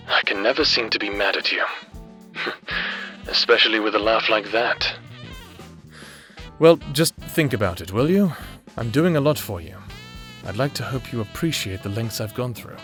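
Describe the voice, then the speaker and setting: A man playing an earnest, reserved private investigator in an anime roleplay audio drama, speaking in measured, formal phrases. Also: mid-range voice, expressive, sharp tone, faint music behind. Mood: flustered, then serious, caring. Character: earnest male private investigator, reserved, secretly fond of client.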